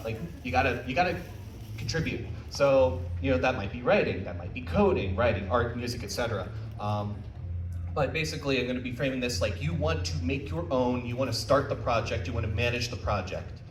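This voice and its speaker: A man's voice: medium pitch, fairly quick. A man giving an informal, jokey live talk as a panel presenter, taking questions in a conversational way. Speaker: convention panel presenter, visual novel developer. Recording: roomy, distant mic, faint background noise.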